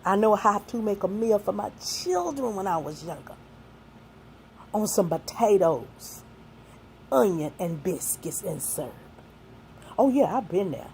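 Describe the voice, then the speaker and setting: A woman's voice: mid-range voice, very animated, measured pace, long pauses, a little mellow, a little hiss or hum. A woman in her sixties, a single mother and grandmother, giving young people earnest, folksy life advice that mixes faith with plain street talk.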